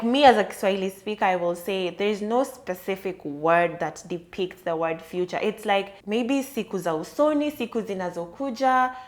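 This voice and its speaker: A woman hosting a solo podcast, talking through an idea in a thoughtful, explanatory and conversational way. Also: mid-range voice. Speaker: podcast host. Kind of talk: solo reflective podcast monologue.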